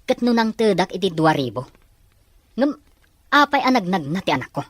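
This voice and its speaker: A man's voice: sits high, very animated, moderate pace, fairly bright tone, no echo, full character voice. A man acting a concerned, protective father in an Ilocano radio drama. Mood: indignant. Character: concerned, indignant father of a schoolgirl.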